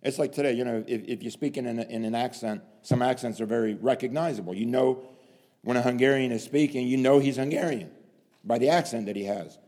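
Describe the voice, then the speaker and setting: A man preaching a sermon, dramatic, swinging from hushed lines to loud emphasis and asking rhetorical questions. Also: mid-range voice, brisk. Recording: long hall reverb.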